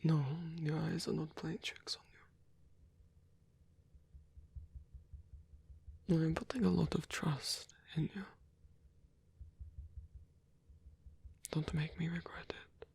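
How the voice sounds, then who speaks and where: A performer playing a lonely old male vampire in an ASMR roleplay, tender and wistful, speaking in a breathy, husky whisper with halting delivery. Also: mid-range voice, steady tone, darkish tone, no room sound. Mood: hushed, guarded.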